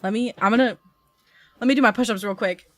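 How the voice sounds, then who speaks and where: A woman's voice: a little high, fast, fairly bright tone, no echo. A woman streaming a game, reacting and chatting casually in a giggly, informal way into a close microphone. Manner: giggly, informal, loosely paced. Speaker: live streamer.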